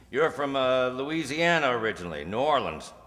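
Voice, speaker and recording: A man's voice: medium pitch, very animated, moderate pace, fairly bright tone. Man playing a wary drug dealer, swooping intonation, echoey hall. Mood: oily, cautious. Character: wary, smooth-talking male narcotics dealer. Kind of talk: radio crime drama acting. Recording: echoey hall, faint noise.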